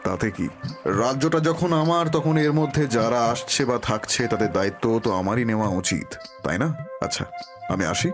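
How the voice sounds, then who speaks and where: A man's voice: mid-range voice, normal speed, over music, lightly acted. A man playing a regal, protective king. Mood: reassuring. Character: kind protective king, worried for his subjects. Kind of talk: dramatic Bengali cartoon dubbing.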